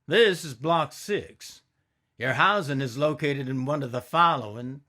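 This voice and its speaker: A man voicing a brisk, commanding male camp guard who gives official instructions in a storybook reading. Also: medium pitch, bright, forceful tone.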